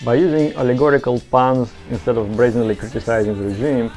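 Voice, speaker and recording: A man's voice: medium pitch, steady tone, fast, darkish tone, soft background music. A man giving explanatory, semi-scripted narration as a video presenter. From Soviet Union.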